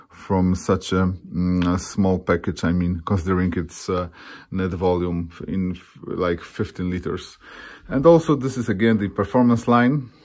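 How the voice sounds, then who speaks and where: Deep-voiced man, halting product presenter. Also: darkish tone. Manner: halting, searching for words. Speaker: loudspeaker designer presenting own products.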